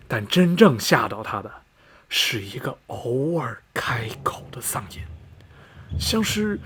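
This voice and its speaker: A man acting in a radio drama as a chatty, superstitious small-town local, telling rumours in a folksy, animated way full of interjections. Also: on the high side, slight background noise. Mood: eerie, dramatic. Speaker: actor in an amateur student radio drama.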